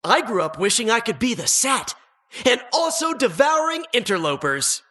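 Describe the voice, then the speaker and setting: A man's voice: very high-pitched, big pitch swings, normal speed, pushed and bright, heavily acted. A man playing a boastful, theatrical villain showman in a video game, gloating exuberantly over his deadly lair. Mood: gleeful boasting. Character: gleeful hammy villain showman, proud of his deadly grotto.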